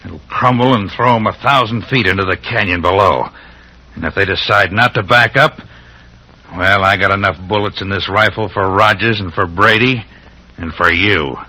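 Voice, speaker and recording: An actor playing a ruthless, gloating western villain who barks menacing orders in an old radio drama. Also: male voice, low-pitched, normal speed, slight background noise, rough recording. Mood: gloating menace. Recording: sharp, grainy old radio recording.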